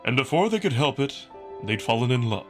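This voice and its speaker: A man's voice: mid-range voice, sing-song, a little fast, over music, close to an everyday voice. Man voicing a big, earnest, theatrical beast noble. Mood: solemn, grand.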